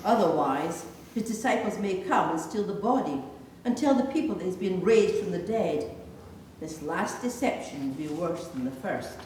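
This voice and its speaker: A woman reads the jeering priests and crowd of a scripture drama, accusing and scornful. The delivery is animated, in a lowish register. It rings through a very echoey church. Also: moderate pace, natural voice. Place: large echoey church interior. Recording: distant, echoey church, faint noise.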